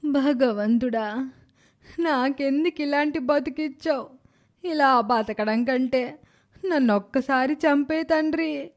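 Woman acting a wailing, high-voiced frail old mother-in-law. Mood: despairing. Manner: pleading, wailing, drawn-out. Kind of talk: animated moral story voice acting.

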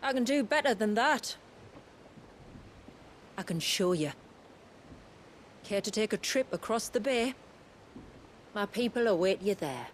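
An actress in a video game cutscene playing a proud, solemn envoy of a hidden tribe, speaking formally and with poise. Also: female voice, medium pitch, animated, unhurried, long pauses, a little hiss or hum, lightly acted. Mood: earnest.

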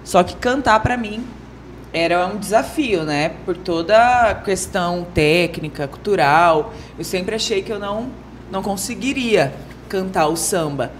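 A woman's voice: medium pitch, expressive, measured pace, a little hiss or hum. A young Brazilian woman casually introducing herself as a podcast guest. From Brazil, Rio de Janeiro and Mato Grosso do Sul. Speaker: guest, samba singer. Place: small wood-panelled TV studio, indoors. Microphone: handheld microphone.

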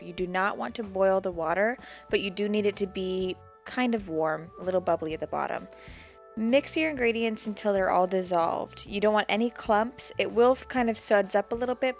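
A woman in her twenties giving a plain, practical step-by-step voice-over for a homemade cleaning recipe. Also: mid-range voice. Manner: plain, practical, walks through each step. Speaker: DIY homesteading vlogger.

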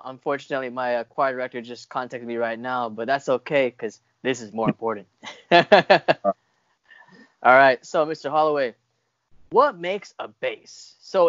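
A man's voice: mid-range voice, fairly bright tone, dry recording. A young adult American man hosting a casual interview over a video call. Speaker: host. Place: room at home, indoors. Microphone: earphone mic on video call. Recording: dull lo-fi video call audio.

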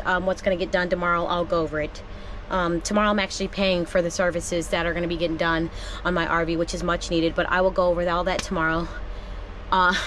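A middle-aged woman vlogging casually to camera from inside her camper van at night, relaxed and upbeat, with plenty of fillers. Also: medium pitch, slight background noise. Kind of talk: casual vlog talk to camera. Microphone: camera close up in the van.

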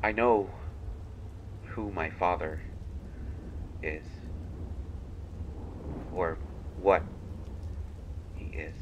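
A man voicing a kindhearted, haunted young banshee in a dramatic monologue, halting between phrases as if confessing. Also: low voice, highs cut off, a little hiss or hum. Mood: somber, regretful. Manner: halting, hushed confession with long pauses. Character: kindhearted young male banshee, half-fey, haunted and menacing.

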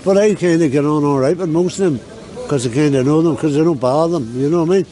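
Older man, coughing, rambling, mellow, slightly high voice, outdoor street. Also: fast talker, rough recording. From Northern Ireland. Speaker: interviewee. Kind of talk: street interview. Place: busy city street outdoors.

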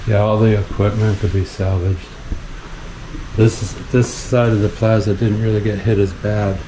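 A man's voice: on the low side, level tone. A man giving casual, offhand exploring commentary to himself as he walks through an abandoned indoor space. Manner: unhurried offhand remarks to himself. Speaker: urban explorer vlogger. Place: dark indoor basement and kitchen of abandoned plaza. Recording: faint background noise and room ambience.

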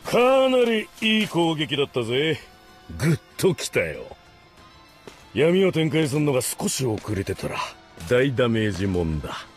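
Cocky, drawling demon fighter with lively pitch. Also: male voice, moderate pace. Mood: confident, smug. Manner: rough, taunting, drawling speech. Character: cocky battle-hungry demon man, sneering and casual. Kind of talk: Japanese anime game voice acting. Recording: music behind the voice.

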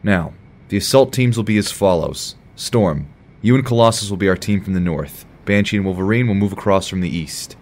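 A man's voice: fairly low pitch, a little fast, darkish tone. An actor playing an earnest, stern young male superhero team leader in an audio drama, serious and commanding.

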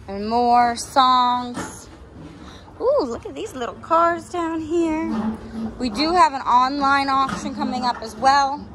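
A woman's voice: a little high, fairly bright tone, slight background noise. A woman hosting a casual auction preview as she walks around outdoors, listing items in upbeat, folksy sales patter. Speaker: auction house host presenting a sale preview. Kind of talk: casual promotional walkthrough commentary. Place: outdoors in an open auction yard.

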